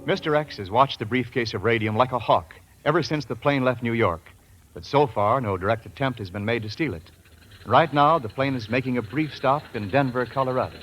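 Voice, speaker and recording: Male vintage radio narrator, scripted announcer delivery, medium pitch, dull recording with light music. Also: fairly quick, a bit bright.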